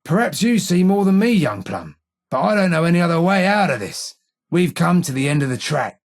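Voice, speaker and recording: An actor playing a blind, weary old London gang informer in a video game, with a London accent, a husky gravelly voice and measured, wry street talk. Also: male voice, a little high, sing-song. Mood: weary, remorseful. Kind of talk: video game character dialogue.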